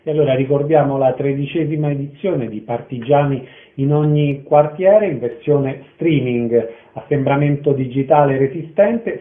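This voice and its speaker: Higher-voiced male radio interviewer, phone-like sound.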